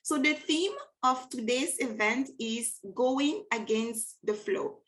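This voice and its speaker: A woman's voice: a little high, takes it slowly, a bit bright. A woman hosting a networking event, introducing a guest speaker in an enthusiastic, emphatic way. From Cameroon and France. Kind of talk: welcome speech at an online networking event.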